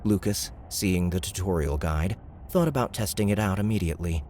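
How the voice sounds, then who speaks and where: A male audiobook narrator tells the story in a steady, even way. His voice is very deep, and a faint hiss sits under it. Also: moderate pace.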